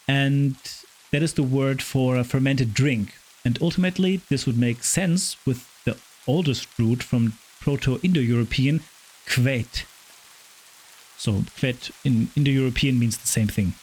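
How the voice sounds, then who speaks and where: A man with a raspy voice hosting a podcast, retelling myths in a chatty way, stumbling over hard names. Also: mid-range voice, a little mellow, poor audio. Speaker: podcast host. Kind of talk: casual storytelling of Norse myth.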